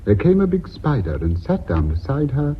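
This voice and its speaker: A man's voice: medium pitch, wildly swooping pitch, normal speed. A cheerful old designer in a radio drama, playful and whimsical. His voice bounces up and down, on a dull old recording with faint noise.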